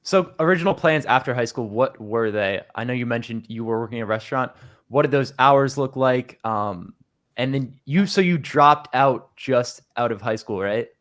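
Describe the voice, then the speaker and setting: A man's voice: mid-range voice, moderate pace, fairly bright tone. A man hosting a conversational interview, introducing his guest and asking questions. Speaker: interview host.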